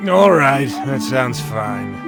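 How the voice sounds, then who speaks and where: Haughty destroyer god, video game, grumbling, imperious, lively intonation, music behind. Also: male voice, medium pitch, takes it slowly. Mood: grudging. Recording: loud game music underneath.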